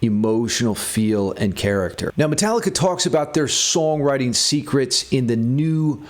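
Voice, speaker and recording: A man's voice: medium pitch, lively intonation, moderate pace. A man giving a casual music theory lesson as a guitar teacher.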